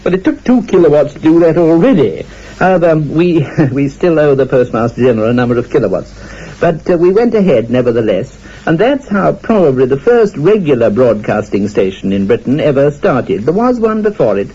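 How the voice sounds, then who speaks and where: Male actor as witty radio engineer, husky, dark-toned, hesitant, digressive, lively intonation, muffled radio sound. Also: a little high, brisk. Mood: wry reminiscing. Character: witty, rambling 1920s radio engineer reminiscing. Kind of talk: humorous reminiscence in a radio play.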